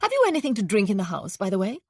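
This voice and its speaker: A woman reading an audiobook, voicing a cordial, airy, well-spoken gentleman. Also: mid-range voice, huge pitch swings, racing, no room sound, clean studio sound. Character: cordial, breezy upper-class gentleman.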